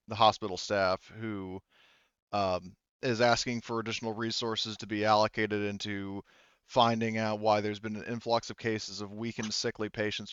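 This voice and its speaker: A man narrating a tabletop roleplaying game as game master, haltingly relaying what characters say. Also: fairly low pitch, fairly bright tone, clean tone, dry recording, highs cut off. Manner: halting, reported speech of characters.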